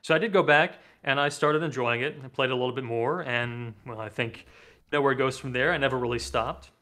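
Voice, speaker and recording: Relaxed young man, French first language. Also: medium pitch, brisk. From France. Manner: relaxed, thoughtful, laughs often. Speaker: podcast guest. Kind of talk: casual chat. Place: home room, seated at desk. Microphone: desk mic with headset, video call.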